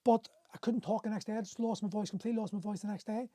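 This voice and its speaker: Man, middle-aged, livestream host, emotional storytelling, frequent swearing, halting restarts, warm mellow voice. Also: high-pitched, fairly even intonation, dry recording, studio quality. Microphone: phone on a livestream.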